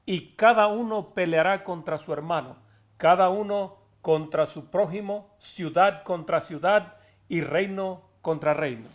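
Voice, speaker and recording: A man's voice: high-pitched, measured pace. A man taking part in a bible study, reading verses aloud and answering the pastor with short affirmations. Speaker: congregant reading scripture.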